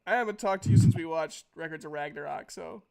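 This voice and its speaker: High-voiced man running a tabletop game. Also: gravelly, a crowd in the background. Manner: halting, informal. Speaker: game master. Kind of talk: casual tabletop game narration and chat.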